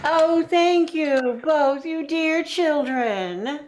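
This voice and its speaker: A woman's voice: high-pitched, drawn-out words, slight background noise. A woman playing a sugary, needling older mother-in-law in an old-time radio comedy, with a singsong manner. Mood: gushing. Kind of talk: 1940s radio comedy acting.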